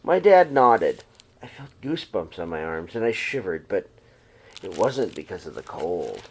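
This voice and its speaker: A man reading a children's picture book aloud like a teacher, with expressive, dramatized storytelling delivery. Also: sits low, moderate pace, husky. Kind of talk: read-aloud storybook narration.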